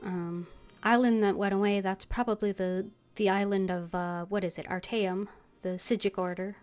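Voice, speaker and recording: A woman's voice: medium pitch, fairly even intonation, normal speed, darkish tone, faint music behind. Woman casually narrating her gameplay. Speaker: video game streamer. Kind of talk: casual gameplay commentary, reading dialogue choices aloud.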